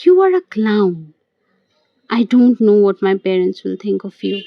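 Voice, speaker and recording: A woman's voice: mid-range voice, big pitch swings, measured pace, gravelly, dry recording, muffled recording. A woman reading the part of a spirited, teasing young fiancée in an amateur audio play.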